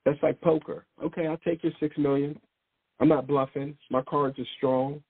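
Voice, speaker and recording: A man's voice: a little high, fairly even intonation, moderate pace, husky. A man preaching informally in a rambling, blunt way, often repeating words and restarting. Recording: tinny phone-like sound, dry.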